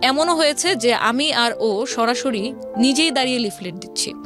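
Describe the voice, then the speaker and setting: Female interview guest, Bangladeshi accent, slightly high voice, conversational storytelling with English words mixed in, music clearly underneath. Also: animated, normal speed. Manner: mixes English words into speech.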